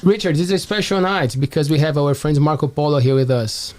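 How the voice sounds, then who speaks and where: A man's voice: on the high side, brisk, a little mellow. A man hosting a podcast, running through an upbeat promotional intro, then interviewing with frequent restarts. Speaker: podcast host.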